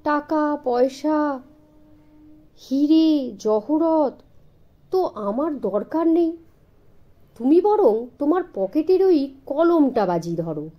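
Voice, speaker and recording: A woman's voice: high voice, animated, slow pace, long pauses, dull audio. A woman voicing a grand, boastful rich man in a children's story, grave and pompous in manner.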